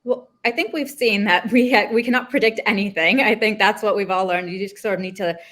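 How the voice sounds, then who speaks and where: A woman's voice: mid-range voice, fairly even intonation, fairly quick, a bit bright. A woman from Canada, a travel journalist speaking fluently and thoughtfully on a panel. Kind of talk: panel discussion.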